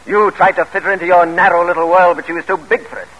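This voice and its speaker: An actor playing a proud, ambitious father and scornful gentleman schemer in old-time radio drama, theatrical and articulate. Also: high voice, steady tone, quick pace, dull audio. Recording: noisy vintage radio recording.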